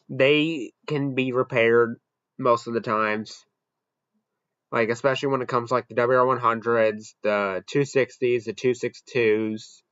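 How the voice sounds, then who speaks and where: A man's voice: mid-range voice, fairly bright tone, no room sound, dull audio. A man giving casual, off-the-cuff commentary as he hosts a product ranking. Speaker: host ranking weather radios.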